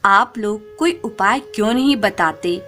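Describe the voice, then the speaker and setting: A woman's voice: medium pitch, lively intonation, a little slow, fairly bright tone, soft background music. A woman voicing a discontented, hot-tempered king in a folk tale, scolding and impatient. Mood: angry, scolding.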